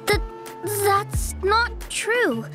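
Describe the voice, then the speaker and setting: A woman's voice: very high voice, sing-song, very slow, music in the background, heavily acted. A woman voicing a shy, polite little child in a video game, hesitant and stammering a little. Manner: hesitant, soft-spoken stammering. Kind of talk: animated game dialogue.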